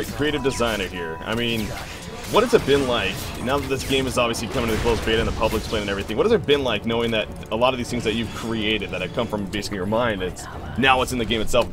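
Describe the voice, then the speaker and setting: A man hosting a casual stream interview, excitable and stumbling over his words. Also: medium pitch. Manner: fast, excitable, stumbling restarts. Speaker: stream host. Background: game music.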